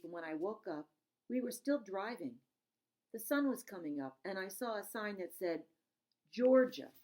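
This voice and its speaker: Lady reading a kids book out loud, lowish voice, dramatic and emphatic. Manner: dramatic, emphatic, stops to explain words. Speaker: storybook reader. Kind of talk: expressive read-aloud narration.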